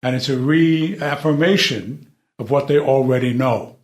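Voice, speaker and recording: A man, an actor, explaining his view in short, measured phrases during a casual group discussion. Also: mid-range voice. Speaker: actor in a play reading. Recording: roomy echo around the voice.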